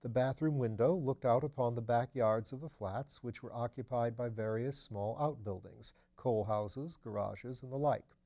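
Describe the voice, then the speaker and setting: A man reading a novel aloud as scripted literary narration, with measured, careful phrasing. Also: mid-range voice, dark tone. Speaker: audiobook reader.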